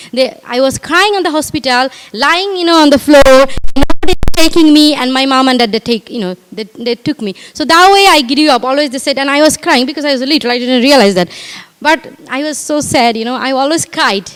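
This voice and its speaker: A middle-aged woman from Bangladesh with a Bangladeshi accent, giving an earnest personal speech at a community event, halting and repeating herself as she speaks to the audience. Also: high-pitched, fairly bright tone, long reverb tail. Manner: earnest, repetitive, halting, addresses audience directly. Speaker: guest speaker. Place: community hall.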